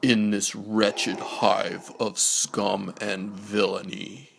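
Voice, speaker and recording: A man reads a bedtime storybook very slowly, in a low, hushed voice with a gravelly creak. His delivery is measured and follows the rhyme. There is some noise in the recording. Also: flat delivery. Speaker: storybook narrator. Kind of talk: bedtime story read-aloud.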